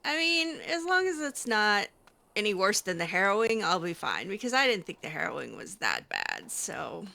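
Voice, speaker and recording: Woman gamer, lively intonation, bright tone, wry and sarcastic, casual roleplay commentary. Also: medium pitch, normal speed, gravelly. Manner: wry, sarcastic, skeptical asides. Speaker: video game player roleplaying as a mage character. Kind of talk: in-character gameplay commentary, casual.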